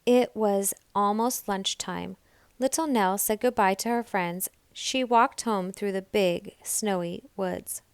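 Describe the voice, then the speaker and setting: A woman reading a children's story aloud as scripted narration, in a deliberate, expressive storytelling manner. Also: mid-range voice, clean tone, no room sound. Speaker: storybook reader.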